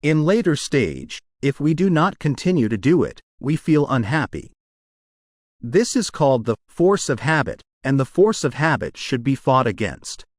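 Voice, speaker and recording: A man narrates short study passages in a measured, deliberate way. His intonation moves freely up and down across each phrase. The sound is dry and close, with no room around it. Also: medium pitch, big pitch swings, dry recording. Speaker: narrator of English test practice passages. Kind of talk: scripted read-aloud narration.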